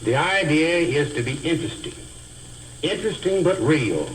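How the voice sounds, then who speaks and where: Male instructor for a vintage teaching film: didactic, deliberate, lively pitch swings, light hiss on the track. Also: rough recording. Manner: deliberate, carefully enunciated, didactic. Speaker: speech teacher. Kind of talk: scripted instructional film lecture. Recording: hissy old educational film soundtrack.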